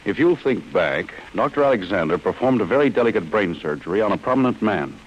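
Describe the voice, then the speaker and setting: An actor with an American accent playing a cold, relentless chief insurance investigator in an old-time radio drama, speaking in a stern, deliberate way. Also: male voice, on the low side, animated, breathy edge. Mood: grim, resolute. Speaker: actor in a radio detective serial. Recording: muffled, hissy old radio broadcast.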